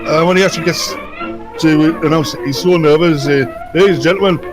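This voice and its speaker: A man's voice: a little high, fast talker, music in the background. A man chatting casually and cracking teasing, bawdy jokes as commentary over an old radio show.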